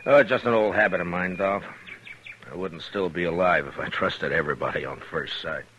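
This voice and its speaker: Deep-voiced, wary frontier lawman. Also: brisk, fairly bright tone, slight background noise. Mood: wary, dry. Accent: American. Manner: laconic, understated, cautious. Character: weathered, wary male frontier lawman, calm and dry. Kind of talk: 1950s western radio drama acting and narration. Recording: muffled vintage radio recording.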